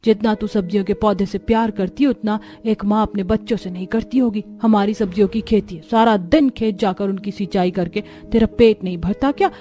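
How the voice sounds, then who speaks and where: A woman's voice: mid-range voice, fairly quick, hardly any pauses, warm tone, faint music behind, close to an everyday voice. A woman voicing an exasperated village mother in a cartoon. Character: exasperated village mother scolding her daughter.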